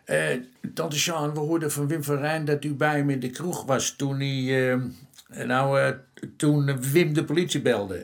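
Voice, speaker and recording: A down-to-earth male detective with a slightly high voice. He sounds informal, wry and matter-of-fact, in the natural style of a radio drama. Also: steady tone, a little slow. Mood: polite, tentative. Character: easygoing, down-to-earth police detective, junior to the inspector.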